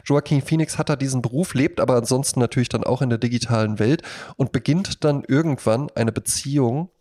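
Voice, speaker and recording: A man's voice: mid-range voice, a little fast, mellow. A man co-hosting a casual podcast, talking a lot in an emphatic, jokey way. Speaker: podcast co-host.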